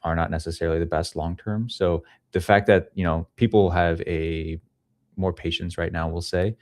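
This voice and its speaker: A man's voice: low voice, steady tone, normal speed, darkish tone, dry recording, clean studio sound. A man in his thirties talking casually as an interview guest, explaining things into a podcast microphone in a small room. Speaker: guest, head of ecosystem at a crypto project. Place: small home room with studio gear, indoors. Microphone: podcast microphone on a boom arm.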